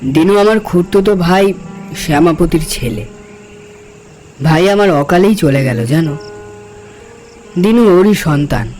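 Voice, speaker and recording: A man playing a kindly, weary uncle in a cartoon drama, speaking in a gentle, placating way. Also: high voice, lively intonation, unhurried, pauses often, faint music behind. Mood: wistful.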